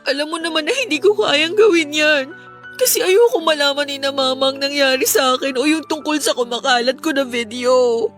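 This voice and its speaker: A frightened, ashamed schoolgirl in an emotional radio drama. Her voice is high, meek and sorrowful, with soft music in the background. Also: a little fast. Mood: downcast. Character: ashamed, fearful, downhearted schoolgirl daughter.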